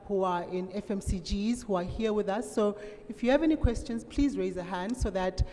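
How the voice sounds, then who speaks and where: A woman moderating a forum Q&A, speaking in a measured, hesitant way with many pauses. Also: medium pitch, warm tone. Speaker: panel moderator. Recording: echoey conference hall, faint noise.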